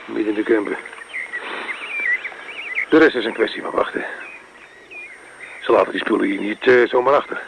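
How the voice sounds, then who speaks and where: An actor playing a blunt, skeptical man in a radio play, speaking gruffly and dismissively. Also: on the low side, normal speed, pauses often, background noise.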